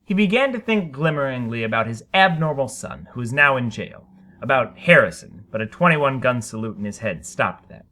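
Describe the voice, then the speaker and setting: A man's voice: mid-range voice, animated, moderate pace, pushed and bright. A man reading a short story aloud as dramatic scripted narration. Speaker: story reader.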